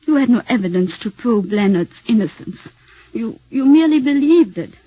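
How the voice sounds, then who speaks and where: An actress playing a cunning, cold former actress who speaks in a husky, mocking, clipped way, in a 1940s radio drama. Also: female voice, fairly high pitch, lively intonation, moderate pace, dry recording. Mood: triumphant, intense. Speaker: witness in murder trial. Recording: dull lo-fi 1940s radio broadcast.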